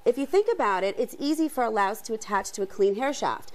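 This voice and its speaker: A woman's voice: a little high, animated, fast. A woman giving practical advice as an expert guest in a live TV interview, chatty and emphatically agreeable. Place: TV studio.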